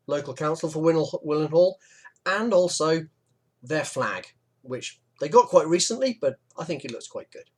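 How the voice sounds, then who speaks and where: A man narrating a local history video in a chatty, informal way. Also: on the high side. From West Midlands, England. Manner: chatty, relaxed, with fillers and asides. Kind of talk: informal explanatory narration over maps.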